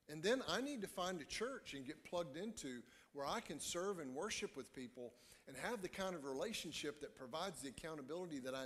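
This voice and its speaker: Middle-aged pastor in an echoey hall. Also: male voice, fairly high pitch, fairly bright tone. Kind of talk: sermon to a congregation. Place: church stage, indoors. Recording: echoey hall with long reverb.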